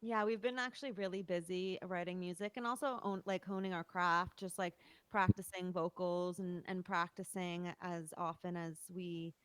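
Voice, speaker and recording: A woman's voice: lowish voice, fairly even intonation, normal speed, fairly bright tone, smooth, no room sound. A young woman, a musician talking casually as a guest in an interview. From Toronto, Canada. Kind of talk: casual chat.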